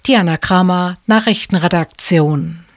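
Lady newsreader, clear formal reading, dry close sound. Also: on the low side, a little slow, no echo. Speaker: radio newsreader. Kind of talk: scripted news reading.

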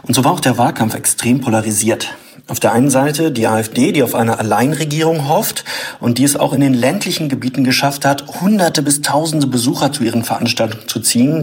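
A man delivering a news report from the field as a correspondent, calm and measured, with a husky voice. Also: medium pitch.

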